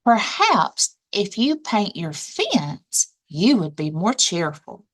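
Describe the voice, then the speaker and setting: A woman's voice: mid-range voice, big pitch swings, takes it slowly, poor audio. A woman voicing a bossy, fussy, easily flustered hen in a children's storybook. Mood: smug, bossy. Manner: fussy, scolding, self-satisfied.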